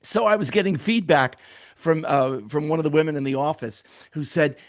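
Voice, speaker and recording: A middle-aged man hosting a casual podcast, telling stories in a relaxed way and laughing often. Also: a little high, moderate pace. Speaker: podcast host. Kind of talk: casual chat.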